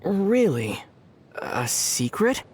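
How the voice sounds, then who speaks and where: An actor playing a calm, good-natured teenage boy adventurer in a video game, dry and gently teasing. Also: medium pitch, extremely slow, slightly rough, heavily acted. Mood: curious.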